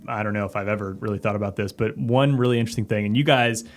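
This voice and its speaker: A man's voice: on the low side, very fast. A man in a casual interview, talking informally and reflectively as a podcast guest. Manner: informal, reflective, peppers talk with "like" and "kinda". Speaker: podcast guest, company CEO and former submarine officer.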